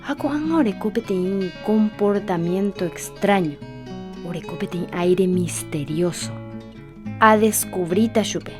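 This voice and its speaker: Woman voicing a young daughter, proud and quick-talking, faint guitar behind, radio play. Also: mid-range voice, natural voice. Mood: lively. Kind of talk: radio drama acting and narration.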